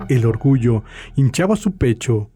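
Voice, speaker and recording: A man's voice: mid-range voice, lively intonation, measured pace, mellow. A man narrating scripted, dramatic fiction as part of an audiobook. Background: background music.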